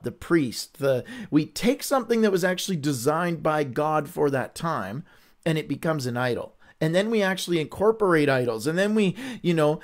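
Guy hosting a bible study stream, kinda high voice, earnest and candid, rambles a bit. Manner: earnest, candid, conversational teaching; rambling with frequent restarts. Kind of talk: livestreamed Bible reading and discussion.

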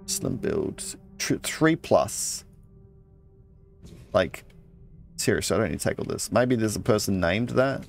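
A man's voice: fairly low pitch, gravelly. A man playing a video game, casually commenting, reading in-game texts aloud and muttering asides to himself. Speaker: let's play gamer.

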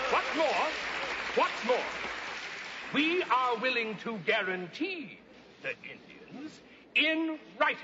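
An actor playing a pompous, indignant gentleman congressman, speaking in grand theatrical oratory for a television tall tale. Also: extremely high pitch, slight background noise, exaggerated voice. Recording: echoey hall, dull old TV sound.

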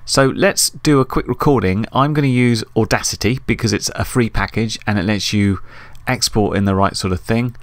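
A man's voice: on the low side, normal speed, hardly any pauses, no echo. A man hosting a casual screen-recorded tutorial, thinking aloud informally as he works. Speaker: tutorial host. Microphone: USB desktop microphone.

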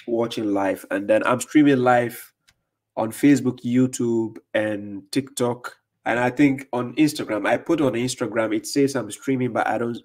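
A man in his thirties hosting a casual livestream, reading viewer comments aloud with many "uh"s and "right?"s, his voice slightly worn from a recent cold. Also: medium pitch. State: recovering from losing his voice. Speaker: livestream host. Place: dark room with curtains, indoors. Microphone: desk condenser mic in front.

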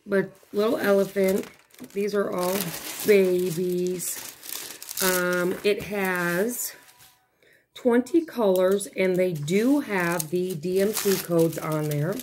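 Hoarse lady chatting slow at her kitchen table, lowish voice, a bit of hiss behind. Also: fairly even intonation. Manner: rambling, chatty, self-interrupting. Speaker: craft vlogger. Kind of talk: casual unboxing chat. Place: home dining room, seated at a table.

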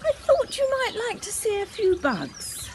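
A woman's voice: extremely high pitch, wildly swooping pitch, measured pace, a little hiss or hum, pitched above the performer's own voice, heavily acted. A woman voicing an eager, knowledgeable young child in a storybook reading. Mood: enthusiastic. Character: eager, knowledgeable young child guiding Grandma round a museum.

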